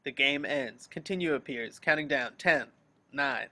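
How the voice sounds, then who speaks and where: A man's voice: on the high side, a little slow, bright, forceful tone. A man reading scripted stage directions as the narrator of a table read. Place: remote group video call.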